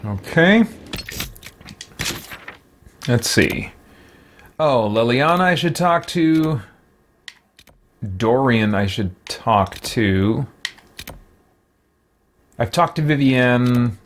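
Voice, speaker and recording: A man's voice: mid-range voice, expressive, drawn-out words, pauses often, a little hiss or hum. A man in his thirties giving casual let's play commentary on a headset in a small room. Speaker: streamer playing a role-playing game. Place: small indoor room with bookshelves.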